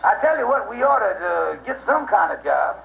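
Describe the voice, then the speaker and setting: A man's voice: high voice, sing-song, moderate pace, creaky, exaggerated voice. An actor playing an earnest, cautious man in a comedy duo, in early radio comedy style. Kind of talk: 1920s-30s radio comedy acting. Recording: muffled, echoey, noisy old radio recording.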